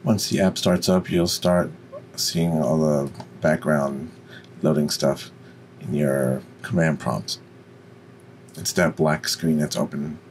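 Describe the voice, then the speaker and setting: A man with a deep voice giving an unscripted, step-by-step software tutorial. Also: steady tone, slow, slight background noise. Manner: instructional, step by step. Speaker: tutorial presenter.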